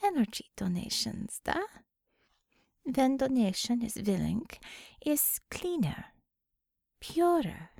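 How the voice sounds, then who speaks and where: A woman's voice: on the high side, very animated, slow pace, creaky, pauses often, darkish tone, dry recording, studio quality, heavily acted. A woman voicing a composed young Russian energy vampire who speaks clipped broken English with a Russian accent. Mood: matter-of-fact.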